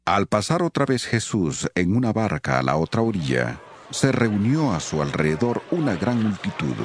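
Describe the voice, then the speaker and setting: A man's voice: on the low side, sing-song, moderate pace. A man narrating scripture from a script as part of a dramatized audiobook.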